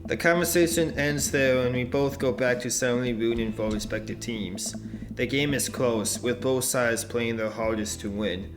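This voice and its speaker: A man's voice: medium pitch, normal speed. A young man narrating a dating-sim playthrough with friends, reading the game text aloud and laughing often. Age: young adult. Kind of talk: casual let's-play narration. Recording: light background music.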